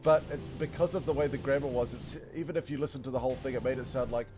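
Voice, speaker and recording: Chatty guy streaming a game, rambles and laughs a lot, music going clearly under him. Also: mid-range voice, fairly even intonation, very fast. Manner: chatty, rambling, laughs often, talks to chat. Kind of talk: casual gameplay commentary.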